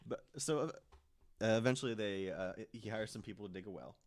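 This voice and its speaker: A man's voice: lowish voice, a little fast. A man telling a story casually to friends as a podcast panelist, conversational and checking in with listeners as he goes.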